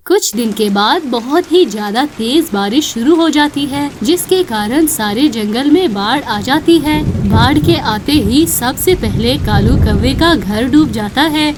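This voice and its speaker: Lady narrator for a kids story, pretty high voice, scripted, slight bg noise.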